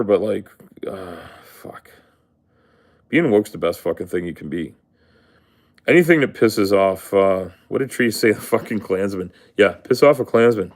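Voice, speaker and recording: Brief: a man, a bit low voice, casual live stream host, rambling and profane. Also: a little mellow. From Canada. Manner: rambling, profane, full of fillers. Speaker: livestream host. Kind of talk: casual livestream rant.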